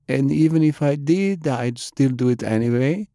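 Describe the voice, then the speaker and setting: A man voicing a calm, bookish young woman. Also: medium pitch, normal speed, dry recording, clean studio sound, pitched above the performer's own voice, lightly acted. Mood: matter-of-fact. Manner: calm, matter-of-fact. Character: bookish, matter-of-fact young woman healer. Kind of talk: audiobook character voice.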